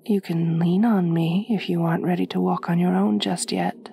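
A woman playing a kind but possessive, aristocratic vampire mistress in a soft-spoken ASMR roleplay, gentle and genteel, often close to a whisper. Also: on the low side, steady tone, moderate pace, vocal fry, a little hiss or hum. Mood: gentle, soothing. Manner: gentle, soothing, genteel, formal phrasing.

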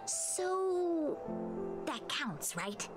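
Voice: sits high, sing-song, very slow, heavily acted. Qingque, a cheeky, lazy young clerk. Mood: playful. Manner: breezy, playful. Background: game music.

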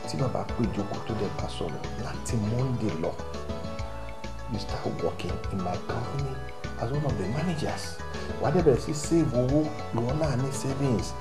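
A man plays a father who lectures his son about work and money in a measured voice. A loud musical score plays under him, and his voice rings with heavy echo. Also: mid-range voice, lively intonation, close to an everyday voice. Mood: lecturing. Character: stern father and company owner advising his son. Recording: echoey, loud background music.

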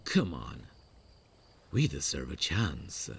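A man playing a smug, infatuated stalker in a horror parody roleplay, coaxing and halting. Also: very deep voice, huge pitch swings, creaky, a bit bright, breathy edge, heavily acted. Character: creepy, infatuated male stalker, smugly coaxing.

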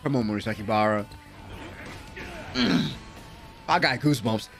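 A young man doing excited live reaction commentary, slangy and exclamatory, repeating words, on a close mic in a small room at home. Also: on the low side, moderate pace, faint music behind. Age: young adult. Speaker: anime reaction host. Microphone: close desk microphone with headset on.

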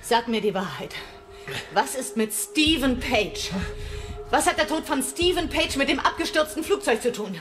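A distraught but defiant young mother in a thriller radio play. Her voice is fairly high and intense, with music clearly behind it. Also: lively intonation, normal speed. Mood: menacing, desperate. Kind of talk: German audio thriller acting.

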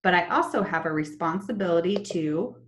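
A young woman teaching children in an online lesson, talking slowly and in a sing-song way over a laptop webcam. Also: on the low side, animated, slight background noise. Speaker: special education teacher. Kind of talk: online lesson with screen share. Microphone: laptop webcam, video call.

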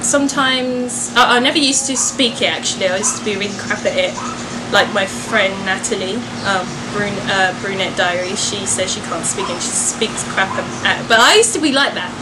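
A young woman talks in a fairly high voice, casually answering questions for her viewers. She is chatty and pokes fun at herself. There is clear noise behind her voice. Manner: chatty, self-joking. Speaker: vlogger and singer.

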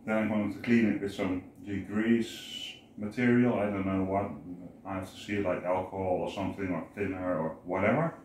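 A man in his forties explaining hands-on work casually to camera, halting as he thinks aloud. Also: sits low, fairly even intonation, a little mellow, slight background noise. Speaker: host of a boat DIY channel. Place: indoors, home living room and boat cabin. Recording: echoey room, music behind.

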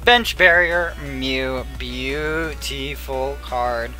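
A young man chatting casually while opening card packs, murmuring card names and getting excited now and then, his voice going hoarse. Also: mid-range voice, extremely slow, music in the background. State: losing his voice. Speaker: card pack opener. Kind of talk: casual pack-opening commentary. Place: small room at a table. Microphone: headset.

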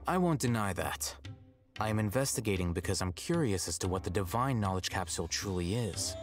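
A man voicing a calm, aloof, calculating young scholar in a video game, speaking in a measured, dry, formal way. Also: on the low side, soft background music, close to an everyday voice. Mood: cool, composed. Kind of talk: scripted video game dialogue.

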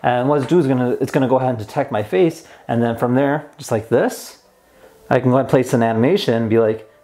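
A man's voice: medium pitch, fast, warm tone. A young man hosting a casual product demonstration as a toy reviewer. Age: young adult. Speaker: tech toy reviewer and host.

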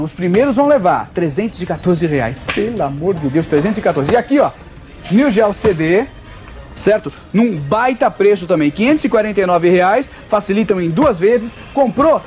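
A man, presenting an informal, playful TV shopping pitch from inside a shop. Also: normal speed, faint music behind. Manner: animated, playful, joking. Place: video game shop, indoors.

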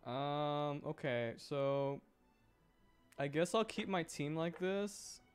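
A man's voice: fairly high pitch, expressive, takes it slowly, clean tone, soft background music. Man rambling casually on a game stream. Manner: rambling, repeats words, breaks into laughter. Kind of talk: casual livestream chat while gaming, reacting to viewers.

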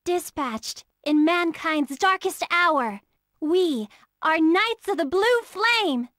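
A woman's voice: very high voice, expressive, takes it slowly, dry recording, clean studio sound, heavily acted. An actress playing an earnest, easily flustered young female military officer in emotional, dramatic video game battle lines. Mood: pleading, solemn. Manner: emotional, dramatic, swinging from polite pleas to shouts.

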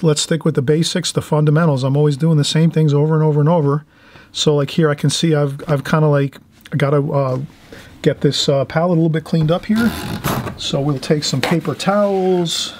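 Higher-voiced man teaching watercolor. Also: darkish tone. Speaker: painting instructor, channel host. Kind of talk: informal watercolor tutorial. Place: indoors at a painting table.

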